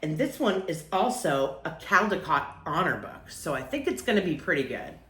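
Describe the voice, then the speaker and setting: A woman's voice: low voice, very animated, moderate pace, fairly bright tone, echoey room. A woman reading a children's picture book aloud as a storyteller, acting out phrases and lingering on key words.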